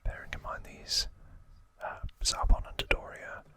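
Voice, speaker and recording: Male ASMR host, breathy bright whisper, unhurried and informal recap delivery. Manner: slow, hesitant, informal explaining.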